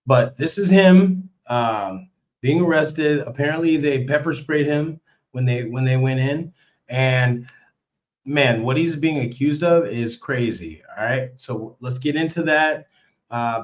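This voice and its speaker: A young man hosting a casual live stream, hoarse with a worn-out voice, chatty and excitable as he repeats himself. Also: medium pitch. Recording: slightly dull lo-fi stream audio.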